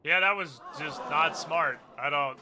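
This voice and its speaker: A man's voice: a little high, measured pace. Sardonic male streamer with noise behind. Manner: sweary, sardonic. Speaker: game streamer. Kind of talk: casual live commentary.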